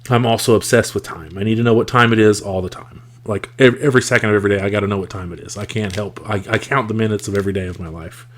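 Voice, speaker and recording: A man's voice: lowish voice, steady tone, quick pace, few pauses. Congested man with a scratchy voice. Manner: reflective, thoughtful, with frequent fillers. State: congested, rough scratchy voice from a cold. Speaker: podcast host. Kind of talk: casual solo podcast talk.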